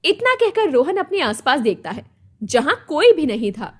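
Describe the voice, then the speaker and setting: A woman's voice: sits high, sing-song, normal speed. A woman narrating a scripted moral story as its storyteller.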